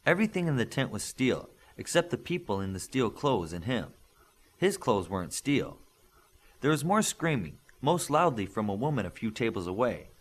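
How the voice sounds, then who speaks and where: A man reading a superhero story aloud as scripted narration, in an expressive storytelling manner. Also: mid-range voice, moderate pace. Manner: expressive storytelling, acting out dialogue. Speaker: audiobook narrator.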